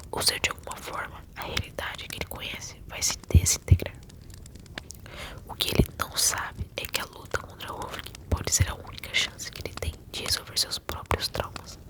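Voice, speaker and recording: A Brazilian woman telling a story in a soft, intimate, soothing ASMR whisper. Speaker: ASMR video creator telling game stories.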